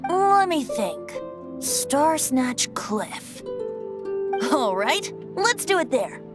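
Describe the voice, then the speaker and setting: A woman's voice: sits high, big pitch swings, extremely slow, over loud music, full character voice. A woman playing a youthful, theatrical boy bard in an anime-style video game, with a lilting, showy delivery. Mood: playful, breezy. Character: youthful, playful, theatrical boyish male bard.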